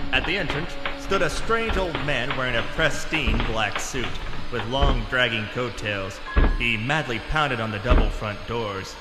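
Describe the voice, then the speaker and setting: A man playing a self-important adventurer, narrating in a deadpan, melodramatic parody of a pulp radio serial. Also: medium pitch, natural voice. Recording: reverberant voice under loud music.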